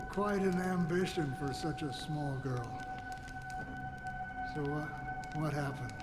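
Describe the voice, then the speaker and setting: A man playing a world-weary, wryly sympathetic wanderer in a video game, talking in a halting, musing way. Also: fairly high pitch. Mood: wry, curious. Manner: unhurried, halting, musing, wry. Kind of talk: dramatic video game dialogue. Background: music.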